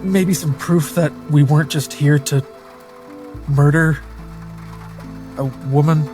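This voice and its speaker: Even-toned man playing a tabletop game. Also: a little high, slow pace, music in the background. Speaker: player. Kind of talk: casual tabletop roleplay.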